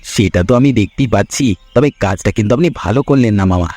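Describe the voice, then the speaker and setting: A man's voice: fairly low pitch, animated, fast talker, darkish tone, no echo. A man voicing a greedy, pushy younger village schemer in a cartoon fairy tale, insistent and needling. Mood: pushy, impatient.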